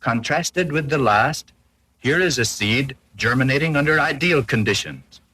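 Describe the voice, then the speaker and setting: Husky-voiced 1940s educational film narrator, male. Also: medium pitch, expressive, a little slow, pushed and bright, low-quality recording. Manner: formal, didactic, carefully explanatory. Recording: old 1940s film soundtrack.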